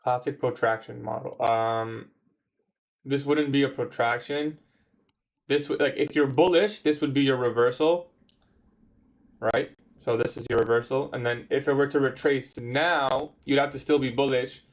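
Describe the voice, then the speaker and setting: A man's voice: medium pitch, fairly even intonation, moderate pace. A man in his twenties chatting casually on a trading livestream, answering viewer questions in a laid-back, slangy way. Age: 20s. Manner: laid-back, slangy, says bro a lot. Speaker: livestream trader.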